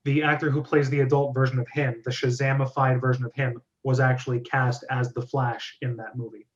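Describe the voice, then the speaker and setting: A man's voice: medium pitch, level tone, a little fast. A man hosting a casual group discussion over an online call. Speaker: host. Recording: dull lo-fi call audio.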